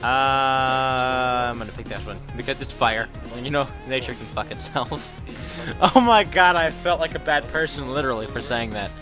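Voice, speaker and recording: A young man riffing his way through a game, crude, rambling and self-mocking, his voice jumping into loud outbursts. His pitch sits in the middle range. Loud dubstep game music pumps underneath him. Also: moderate pace. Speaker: gaming commentator. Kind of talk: casual let's-play commentary. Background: electronic dubstep game music.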